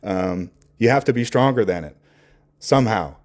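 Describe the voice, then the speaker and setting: A man talking candidly and off the cuff in a reflective, earnest monologue, halting as he searches for words and swearing casually. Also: fairly low pitch, expressive. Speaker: podcast host.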